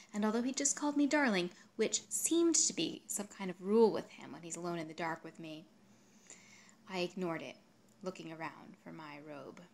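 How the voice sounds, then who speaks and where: A woman reading literary fiction aloud in a dramatic, expressive way, at times hushed. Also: lowish voice. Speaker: translator reading their own literary translation.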